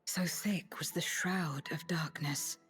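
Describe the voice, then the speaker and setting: A guarded young warrior woman from a fantasy game. Her voice is lowish and hushed, her manner terse and earnest, with soft music underneath. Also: fairly even intonation, slow pace, in a whisper. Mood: troubled. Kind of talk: dramatic video game acting.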